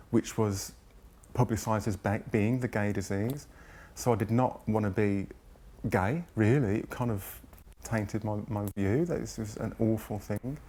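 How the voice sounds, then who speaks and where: A man in his forties talks reflectively about his own life in an interview. His voice is fairly low and stays very even, with an earnest delivery. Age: 40s. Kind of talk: personal recollection in an interview.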